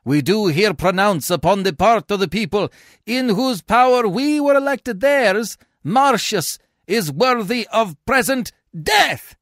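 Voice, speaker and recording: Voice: mid-range voice, lively intonation, normal speed, few pauses, dry recording, clean studio sound, pitched above the performer's own voice, natural voice. A reader voicing an angry Roman tribune in a theatrical Shakespeare read-aloud, declamatory and accusing. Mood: condemning.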